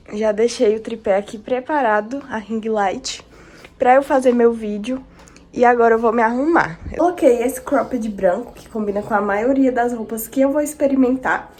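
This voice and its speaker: A young woman with a hoarse voice from a cold, chatting casually and affectionately to her viewers in a vlog. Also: fairly high pitch, darkish tone, a little hiss or hum. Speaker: vlogger. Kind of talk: casual vlog talk to camera.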